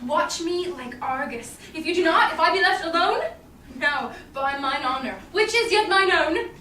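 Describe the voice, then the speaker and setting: Woman playing reproachful noble wife, Shakespeare stage reading, bright declamatory voice, distant, noisy roomy recording. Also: very high voice, expressive, normal speed, full character voice. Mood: indignant. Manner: declamatory, indignant. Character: proud, reproachful noble wife, sharp-witted. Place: small indoor theatre stage. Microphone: far audience camera.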